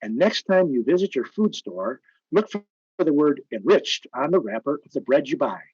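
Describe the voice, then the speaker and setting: A male old-time radio announcer with a fairly high, very animated, husky voice. He sells with polished emphasis in a dull, lo-fi recording. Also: normal speed, no room sound.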